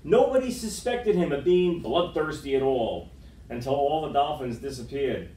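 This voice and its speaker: A middle-aged man reading a children's picture book aloud with dramatic, expressive storytelling, recorded indoors by a camera across the room. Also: mid-range voice, moderate pace. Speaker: storytime reader. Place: school room with wood-panelled walls, indoors. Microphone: far camera microphone. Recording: roomy, distant camera microphone.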